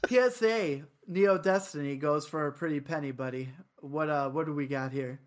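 A man hosts a relaxed chat show in a voice that sits on the high side. He wanders through his points in an easygoing, rambling way. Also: normal speed. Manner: laid-back, rambling, self-described high voice. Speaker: podcast host. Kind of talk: casual podcast chat.

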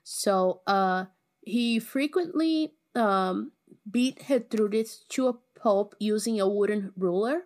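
Young woman cohost, casual crime storytelling, lots of ums, average pitch. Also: takes it slowly, a little mellow. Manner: laid-back, filler-heavy, reads case details. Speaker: podcast co-host. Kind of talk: casual true-crime narration.

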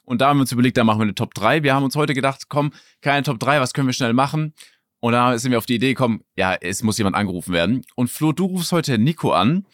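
A man's voice: medium pitch, fast talker, no echo, studio quality. A man co-hosting a podcast in casual, slangy banter. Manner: informal, youth slang. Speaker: podcast co-host.

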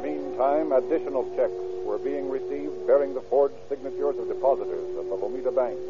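A man's voice: medium pitch, lightly acted. Formal radio crime narrator man acting, music behind. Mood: sober, dramatic. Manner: formal, measured delivery. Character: sober male narrator of a crime case. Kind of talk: 1940s radio dramatic narration. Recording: dull old radio recording.

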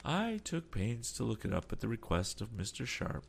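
A man voicing a calm, polite older sea captain who keeps adding apologetic asides. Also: lowish voice, moderate pace, gravelly, slightly rough, voice pushed up, exaggerated voice.

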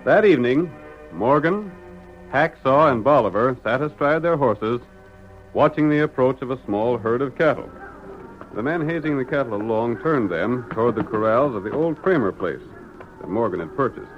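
Animated American radio narrator man. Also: medium pitch, moderate pace. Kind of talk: dramatic 1940s-style radio western narration. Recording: muffled old radio recording, music behind.

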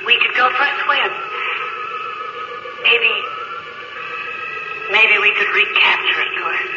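A woman's voice: a little high, slow. A woman playing a wistful, pleading neglected wife in a classic radio drama, tender and earnest. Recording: dull, echoey old radio recording. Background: loud music.

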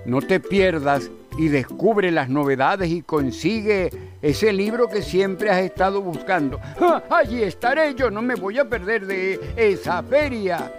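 Animated high-voiced Venezuelan promo man. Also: a little slow, over music. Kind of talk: animated radio advertisement. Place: produced radio spot over music.

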